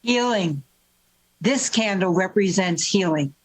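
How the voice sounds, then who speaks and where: Formal ceremonial reader, medium pitch. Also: animated, slow pace. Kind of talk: formal scripted commemorative reading.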